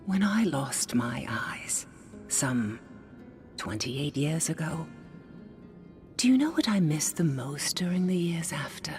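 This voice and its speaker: A wise blind songstress of older years for a video game, low and hushed, often dropping to an intimate near-whisper. Her pitch still rises and falls freely as she teases and muses, with faint music underneath. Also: expressive, slow pace, long pauses. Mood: reflective. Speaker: game character.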